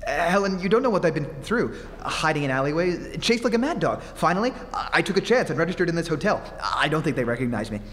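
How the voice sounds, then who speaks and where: A man's voice: fairly high pitch, expressive, fast, few pauses, fairly bright tone. A man playing a desperate, haunted fugitive in old-time radio horror, melodramatic and stammering, recorded on stage in a hollow, hissy hall. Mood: agitated, pleading.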